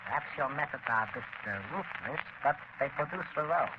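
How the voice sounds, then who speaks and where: An actor playing a polite, hesitant villainous conspirator in 1930s radio style. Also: male voice, medium pitch, animated, a little fast, natural voice. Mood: smug, courteous. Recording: noisy muffled 1930s radio broadcast.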